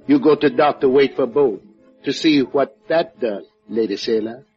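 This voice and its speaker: A man's voice: medium pitch, normal speed, faint music behind, natural voice. A man playing an obliging, deferential hotel musician in a 1950s radio drama. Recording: dull old radio recording.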